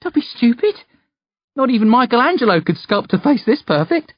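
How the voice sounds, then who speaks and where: A man's voice: very high voice, big pitch swings, normal speed, dry recording, voice pushed up, heavily acted. A male narrator playing a brash, loyal teenage boy with an eager, youthful delivery in an audiobook story. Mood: cheeky. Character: brash, loyal teenage nephew, cheeky and urgent. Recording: dull, close dry audio.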